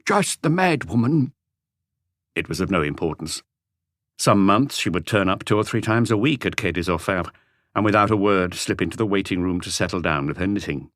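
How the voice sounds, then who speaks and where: A man's voice: deep voice, a little fast, fairly bright tone, no echo, clean studio sound. A man reading a mystery novel as a scripted audiobook narration.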